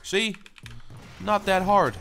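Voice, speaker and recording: A young man giving casual gameplay commentary, stammering and swearing, swinging between low mumbles and excited yelling. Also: takes it slowly, vocal fry, faint music behind. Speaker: gaming channel host.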